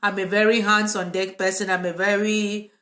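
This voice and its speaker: A woman with a Cameroonian accent, a psychotherapist talking casually and informally to viewers in a live vlog, with a relaxed, conversational manner. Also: mid-range voice, normal speed. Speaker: psychotherapist hosting a live video. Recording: echoey, reverberant room.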